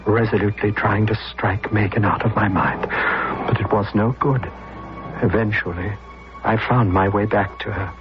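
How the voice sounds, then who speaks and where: Low-voiced, tormented man played by an actor. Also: fairly even intonation, moderate pace, natural voice. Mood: haunted confession. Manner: brooding, confessional, hesitant when challenged. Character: tormented, guilt-ridden, weak-willed son and lover. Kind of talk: dramatic first-person narration, radio acting. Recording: dull lo-fi radio recording, loud music.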